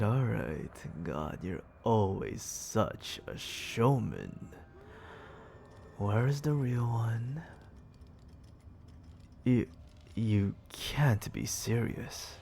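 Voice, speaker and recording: Haughty demon lord for ASMR roleplay, performed by an actor: suave, gravelly, lively intonation, frequent whispering, close-miked with clear background noise. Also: medium pitch. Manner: slow, theatrical, often dropping into breathy whisper.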